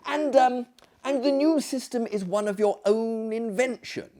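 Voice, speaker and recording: A man voicing a polite, flustered, deferential gentleman visitor, stammering and fussy, in a theatrical storybook reading. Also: very high voice, unhurried, pitched above the performer's own voice. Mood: embarrassed, humble. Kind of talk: theatrical audiobook character acting.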